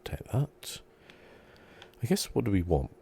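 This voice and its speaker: A soft-spoken man giving relaxed, rambling ASMR commentary as he plays a video game, thinking aloud. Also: unhurried, creaky, husky. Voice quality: soft-spoken, low-key.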